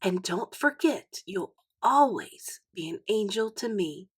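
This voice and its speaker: A woman reader voicing an affectionate female schoolteacher in a storybook, cooing fondly with drawn-out words. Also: mid-range voice, expressive, breathy edge. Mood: comforting, playful. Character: affectionate, gushing woman schoolteacher doting on former pupil.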